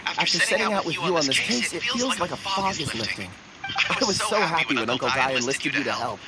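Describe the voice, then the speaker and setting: An actor playing a gentle, earnest young man in a game who loves ores, speaking softly and politely. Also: fairly high pitch, sing-song, brisk, loud music behind the voice, poor audio. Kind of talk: game character dialogue.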